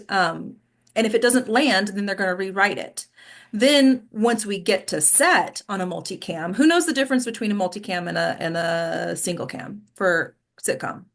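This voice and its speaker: A woman's voice: medium pitch, fairly bright tone. A woman hosting and coaching actors in a casual, instructive discussion, often checking in with short tag questions. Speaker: host and acting coach leading a discussion.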